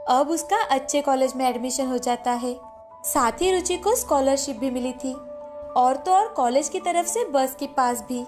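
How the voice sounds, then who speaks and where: A woman's voice: high-pitched, moderate pace, music in the background. A woman narrator reading a scripted moral story for children.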